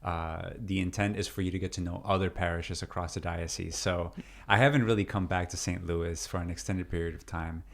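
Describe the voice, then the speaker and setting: A man in his thirties, originally from Peru, talking through his life story as a guest in a relaxed interview, with many fillers and restarts. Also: low voice, fairly quick, vocal fry, hardly any pauses. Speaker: deacon and seminarian, interview guest. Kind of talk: casual interview conversation.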